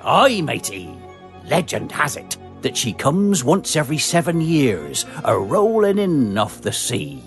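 A gravelly, slow-talking old sea-dog of a diesel engine spins tall tales, as a male narrator puts him on. His voice swoops down low on the ominous parts. It's a storybook reading. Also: huge pitch swings, soft background music. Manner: gravelly seafaring yarn-spinner.

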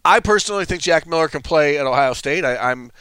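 A man giving quick, assured college football analysis in casual sports talk, with a dry sense of humor. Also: a little high, lively intonation, a bit bright, smooth, dry recording.

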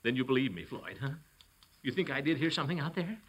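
An actor playing a pompous, easily flustered middle-aged uncle in a 1940s radio comedy. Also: male voice, medium pitch, expressive, fast talker, fairly bright tone, breathy edge. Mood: uneasy. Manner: pompous, blustering, indignant. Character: blustering, easily flustered small-town uncle and commissioner. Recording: noisy old radio recording.

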